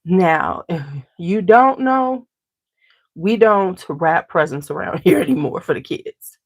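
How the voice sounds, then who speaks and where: A woman's voice: on the low side, lively intonation, slow pace, no room sound. A congested woman hosting a beauty channel, chatting casually with viewers at home and greeting them by name. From Chicago area. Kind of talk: casual chat to a live audience.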